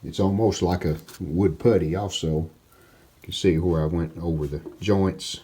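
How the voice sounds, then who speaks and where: A man giving casual, plainspoken, unhurried narration of hands-on workshop work, like a DIY video host. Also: sits low, fairly even intonation, dark tone. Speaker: host of a restoration channel.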